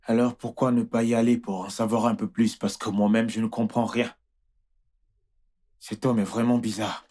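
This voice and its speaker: A man playing an indignant husband who is quick to anger and stammers and repeats himself, in dubbed film dialogue. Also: on the low side, level tone, mellow, close to an everyday voice. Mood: bewildered, troubled.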